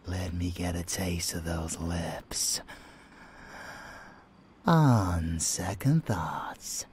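A man acting as an unhinged, seductive male vampire lover in an intimate ASMR audio roleplay, breathy and often whispering, with teasing, menacing affection. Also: low voice, extremely slow, a little mellow, full character voice. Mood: playful, teasing.

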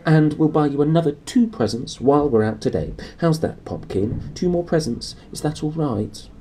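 A storybook aunt, put on by a man, shrill and bossy. The voice is pushed up high and swings widely. The manner is demanding and snappy, with a doting streak for her son. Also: expressive, moderate pace, warm tone, distant mic, slight background noise, lightly acted. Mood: coaxing, doting.

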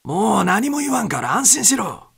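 A man's voice: high voice, big pitch swings, normal speed, fairly bright tone, voice pushed up, heavily acted. A man voicing a panicked, bitter, angry male airline passenger in a story reading. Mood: angry, agitated. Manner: snappish, agitated, brooding. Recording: clear background noise.

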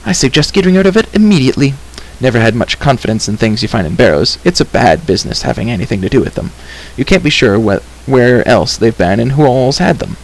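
A man's voice: medium pitch, big pitch swings, fast talker, few pauses, poor audio. A man voicing a confident, breezy bard who bluffs like a know-all in a storybook reading. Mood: wary, brisk. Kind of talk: audiobook character reading.

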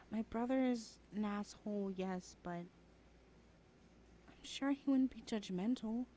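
A woman's voice: medium pitch, takes it slowly, mellow, a little hiss or hum, natural voice, rough recording. A woman playing a defiant, protective younger sister in an ASMR roleplay, argumentative in manner. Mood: exasperated, consoling.

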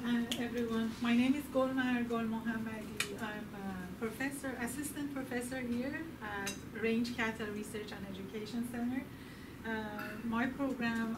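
A woman's voice: a little high, background noise. Woman academic, hesitant, filler-heavy, distant echoey room. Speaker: assistant professor. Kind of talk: self-introduction to a class. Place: small classroom with hard walls. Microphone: far camera.

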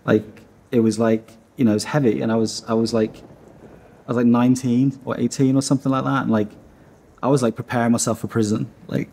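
A man's voice: medium pitch, flat delivery, a little fast, creaky, mellow, a little hiss or hum. A man in his thirties from Iceland, a studio founder talking casually in a seated interview, rambling with lots of fillers and restarts. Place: dim studio room.

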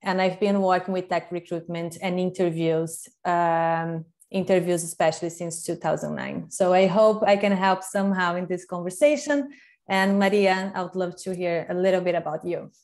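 A woman from Brazil with a Brazilian Portuguese accent, moderating a panel over a video call in a friendly, expressive way. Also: lowish voice, moderate pace. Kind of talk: casual panel chat. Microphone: earphone headset on video call.

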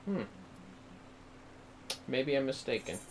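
A man's voice: mid-range voice, sing-song, slow, slight background noise. A man hosting a casual gaming livestream from home, murmuring to himself and tossing playful asides to his viewers. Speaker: livestreamer, host. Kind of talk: casual gaming livestream commentary, talking to chat. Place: indoors at home.

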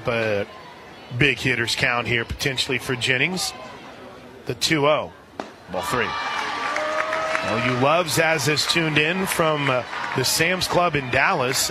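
A man doing live radio play-by-play of softball in a conversational way that can burst into excited calls. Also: mid-range voice, background noise. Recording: crowd and music behind broadcast. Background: stadium crowd, music.

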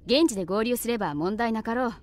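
A woman's voice: a little high, fast talker, close to an everyday voice. Woman as a stern, composed anime student. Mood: matter-of-fact. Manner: stiff, old-fashioned formal wording.

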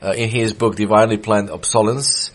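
Man, Serbian first language, middle-aged, low voice, measured sermon, hesitant fillers, small church. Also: steady tone. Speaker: preacher. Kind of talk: sermon reading and explaining quotes. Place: small church with wooden wall.

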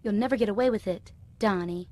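Sassy glamour heroine played by a woman, mid-pitched. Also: brisk, mellow, close to an everyday voice. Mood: defiant. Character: sassy, vain glamour-girl action heroine. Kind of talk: video game cutscene acting.

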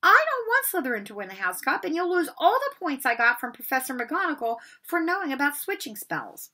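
A bossy, disapproving schoolgirl from a storybook, played by a woman reader, scolds away. The voice is raised in pitch, bright and forceful. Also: big pitch swings, fairly quick, exaggerated voice. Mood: scolding, indignant.

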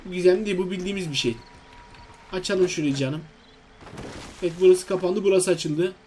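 Male gaming host, high-pitched voice, casual and exclamatory play-by-play, faint noise behind him. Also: a little fast, warm tone. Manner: exclamatory, talks to himself and to viewers. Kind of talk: casual video game commentary.

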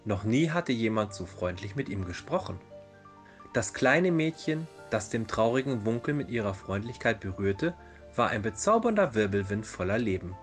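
A man's voice: on the low side, very animated, moderate pace. A man narrating a fairy tale as a storyteller in a dramatized reading. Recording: music behind the voice. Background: background music.

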